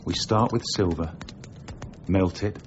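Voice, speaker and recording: A man's voice: low voice, measured pace. A man acting in a radio drama as a silver-tongued, boastful rogue, a counterfeiter and quack doctor with a persuasive manner. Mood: persuasive, eager. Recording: dull lo-fi radio recording, faint noise.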